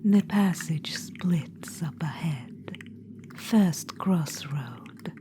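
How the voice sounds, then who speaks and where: A woman's voice: sits low, animated, drawn-out words, exaggerated voice. A woman whispering as a haughty witch-queen in an orb. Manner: soft whispering, regal and condescending. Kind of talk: ASMR audio roleplay.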